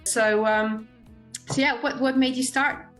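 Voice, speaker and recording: A woman's voice: on the high side, fairly even intonation, measured pace, fairly bright tone, soft background music. A middle-aged woman born in Serbia, hosting a casual interview in a friendly way and laughing often. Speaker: host.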